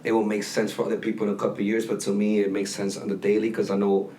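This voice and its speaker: A man's voice: lowish voice, monotone, quick pace, warm tone, a little hiss or hum. A young man hosting a casual podcast, laid-back with loose slang. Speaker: podcast co-host. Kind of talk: casual chat.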